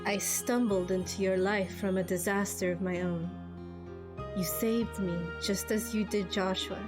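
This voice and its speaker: Woman voicing composed game lady, formal, cutting, measured pace, faint music. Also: mid-range voice, steady tone, natural voice. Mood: coolly scornful. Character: composed, blunt adult woman scolding two men. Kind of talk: amateur game character voice acting.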